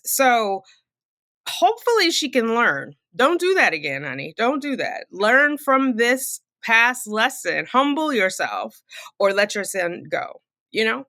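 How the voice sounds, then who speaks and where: A woman's voice: a little high, sharp tone, no echo. A middle-aged woman from California giving casual, opinionated commentary in a blunt, chiding, colloquial way. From Fresno, California. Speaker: commentary channel host.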